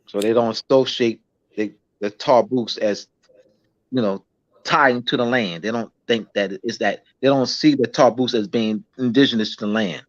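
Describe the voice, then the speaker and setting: A man, a schoolteacher, talking in a casual interview, halting with many restarts and fillers. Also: medium pitch, steady tone. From United States. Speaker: schoolteacher guest. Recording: dull lo-fi recording.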